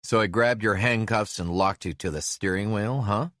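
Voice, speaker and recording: A man voicing a loud, blustery middle-aged sheriff who shouts over engine noise. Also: lowish voice, moderate pace, no echo, studio quality, pitched above the performer's own voice, close to an everyday voice.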